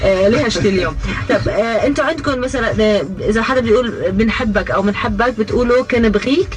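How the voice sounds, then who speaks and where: Levantine woman on radio, playful n teasing, talks fast, animated, light music behind. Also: on the high side, low-quality recording. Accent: Levantine Arabic. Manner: teasing, repeats phrases she is learning. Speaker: radio co-host. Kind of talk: playful casual radio chat.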